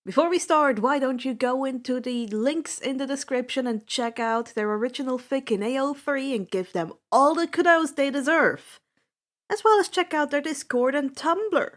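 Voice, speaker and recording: A woman hosting her own channel and reading fan fiction aloud as an expressive, theatrical amateur audiobook narrator. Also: sits high, normal speed. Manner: theatrical, acts out dialogue.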